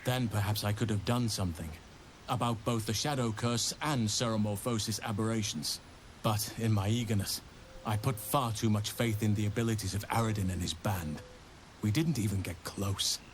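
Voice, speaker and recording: An actor playing an earnest, learned druid burdened by regret, speaking in measured, formal phrases in a fantasy video game. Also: male voice, fairly low pitch, slight background noise, close to an everyday voice. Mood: grave, regretful. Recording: game music behind.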